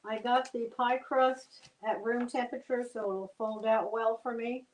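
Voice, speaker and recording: An older woman from New Jersey walks through a family recipe step by step. Her voice sits a bit high, and her manner is homey and plain-spoken. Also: fairly even intonation, measured pace, roomy sound, slight background noise, low-quality recording. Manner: homey, explains steps plainly. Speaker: guest cook. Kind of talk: cooking demonstration chat.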